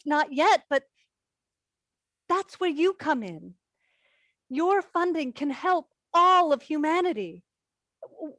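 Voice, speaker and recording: Stage play reading: anxious high-voiced woman, played by an actress, dry recording. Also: a bit bright, no room sound, exaggerated voice.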